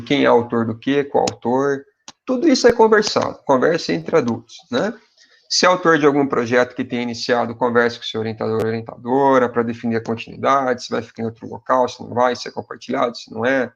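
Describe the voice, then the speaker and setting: A man in his forties with a Brazilian Portuguese accent and a gravelly, mid-range voice advises graduate students in a relaxed, conversational way. He addresses his viewers directly and often adds little tags asking if they follow. The audio is a bit dull and lo-fi. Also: mid-range voice. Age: 40s. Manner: speaks directly to viewers as "pessoal". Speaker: university professor. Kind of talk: advice talk to graduate students.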